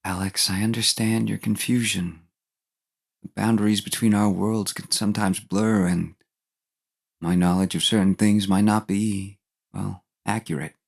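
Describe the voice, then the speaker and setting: Dead male lover, audio drama, man acting: gravelly, unhurried, mysterious, tenderly devoted. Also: lowish voice, fairly even intonation. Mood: tender, reassuring. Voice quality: husky, gravelly.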